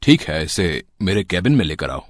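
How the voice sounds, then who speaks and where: A man voicing a grave, composed doctor in a moral story, speaking in a measured way. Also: low voice, big pitch swings, dry recording, studio quality, voice pushed down. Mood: urgent. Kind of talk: acted dialogue in a Hindi moral story.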